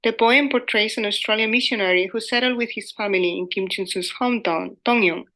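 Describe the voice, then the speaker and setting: The bright, clear-cut voice of a woman researcher, a native Spanish speaker, formally reading her paper at a literature conference. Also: mid-range voice, fairly quick. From Spain. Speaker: academic researcher presenting a paper. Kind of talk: scripted conference presentation read aloud.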